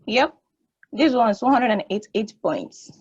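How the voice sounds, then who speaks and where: A woman's voice: fairly high pitch, animated, unhurried, a little mellow, slightly rough, dull audio. A woman playing a wry, bossy space probe in a stage play table read. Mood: wry, casual.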